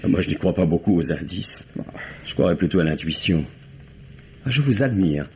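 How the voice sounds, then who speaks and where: A jaded leading man with a very deep voice who answers in weary, clipped, sardonic lines. The sound is dull and faintly hissy, like an old radio broadcast. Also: animated, normal speed, dark tone. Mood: dry. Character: detached, disillusioned middle-aged stage actor. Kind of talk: 1950s French radio drama acting.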